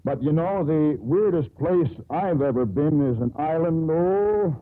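An actor playing a bold, sardonic sea captain in a theatrical 1950s radio drama style. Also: male voice, a little high, lively intonation, measured pace. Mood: teasing, storytelling. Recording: old 1950s radio broadcast, narrow band.

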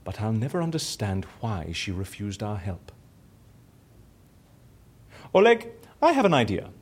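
Boastful bored raconteur, higher-pitched, hugely animated delivery, husky edge, self-important audio drama character. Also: male voice, full character voice. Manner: theatrical, chatty, self-important. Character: bored, boastful, theatrical immortal raconteur and fixer, male. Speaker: lead character in audio fiction.

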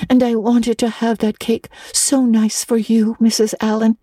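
A woman doing a dramatic young storybook girl, her voice pitched well above a grown woman's and tumbling out in eager, breathless rushes. She stammers when upset and swoons over every small joy. The sound is close and dry. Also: flat delivery, dark tone, dry recording. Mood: mournful. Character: excitable talkative orphan girl, dramatic and eager. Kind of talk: audiobook character voice.

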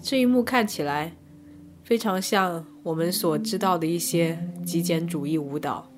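A woman narrating a scripted first-person arts review in measured, descriptive short phrases. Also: on the low side, music in the background. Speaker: podcast host and reviewer.

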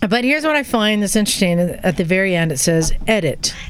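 A woman's voice: medium pitch, lively intonation, brisk. A woman podcast host chatting casually with a group in an amused way. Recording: noticeable room noise behind.

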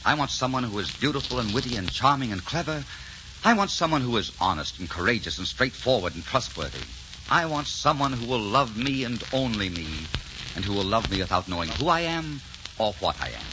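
A romantic, headstrong young prince played by a man in a 1940s radio play. He speaks with theatrical passion and sweeping pitch. The old recording is muffled and hissy. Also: very animated, brisk, natural voice. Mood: earnest. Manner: theatrical, impassioned. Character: ardent, defiant young French prince, romantic and impudent.

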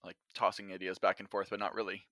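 Bright-voiced man chatting as a guest. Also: deep voice, level tone, quick pace, dry recording, clean studio sound. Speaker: podcast guest, audio drama writer. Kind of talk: casual conversational interview answers.

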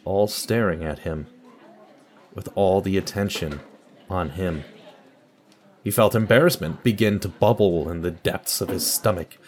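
A man's voice: sits low, measured pace, long pauses, darkish tone. A man delivering scripted narration and announcements for an audio drama.

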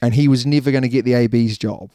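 Man, New Zealand accent, warm dark timbre, mid pitch, casual chat, easy laugh. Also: fairly even intonation, quick pace, no echo, studio quality. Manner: chatty, laughs easily, rambling asides. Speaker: podcast co-host. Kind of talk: casual rugby podcast chat.

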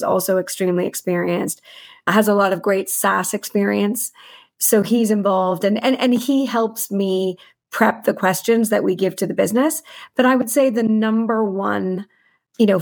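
A woman with a noticeably creaky, mid-pitched voice talks as a guest in an interview. She explains things in articulate detail, like a senior finance executive, using plenty of business jargon. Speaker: chief financial officer, podcast guest.

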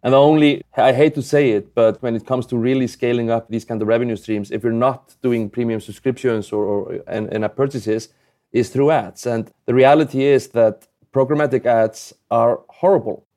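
A man from Iceland speaks as an interview guest in a mellow, soft-edged voice. His pitch sits mid-range and his talk is informal and candid, like an entrepreneur chatting about his own work. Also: fairly even intonation, moderate pace. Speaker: guest, mobile game entrepreneur. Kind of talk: casual conversational interview talk.

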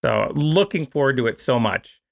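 A man's voice: mid-range voice, animated, normal speed, creaky. A man hosting a podcast interview, chatting in a friendly, rambling way full of fillers. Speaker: podcast host.

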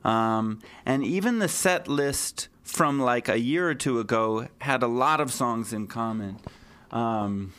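Band member guest, mid pitch, dry deadpan humor, casual. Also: takes it slowly, hardly any pauses, clear, resonant tone. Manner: dry joking, laughs. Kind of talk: casual interview chat.

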